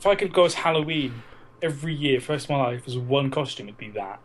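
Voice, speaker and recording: A man's voice: mid-range voice, fast talker, a little hiss or hum. A man co-hosting a casual podcast, talking enthusiastically and bantering with some swearing. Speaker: podcast co-host.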